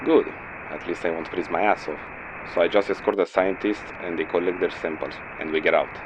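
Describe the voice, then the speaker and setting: A man's voice: sits low, steady tone, fairly quick, close to an everyday voice. A man playing a jaded, sardonic mercenary in a game audio drama, blunt and grumbling in his delivery. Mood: wary. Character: jaded, sardonic male mercenary codenamed Phantom. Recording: dull lo-fi audio, noisy vehicle-like background.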